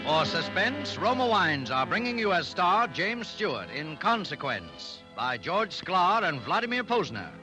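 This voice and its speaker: A man's voice: sits high, big pitch swings, a little slow, hardly any pauses, rough recording. A man giving dramatic scripted introductions as a 1940s radio program announcer. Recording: old radio broadcast over music.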